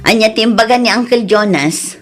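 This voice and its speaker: A woman's voice: a little high, brisk, music in the background, lightly acted. A woman acting in an Ilocano comedy radio drama as an exasperated older female cousin who scolds latecomers, with an Ilocano accent. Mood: scolding.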